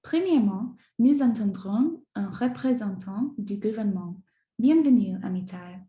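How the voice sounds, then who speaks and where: Brief: woman show host, mellow tone, reading a script, roomy echo. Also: medium pitch, lively intonation, measured pace. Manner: scripted, read-aloud. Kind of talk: scripted talk show hosting.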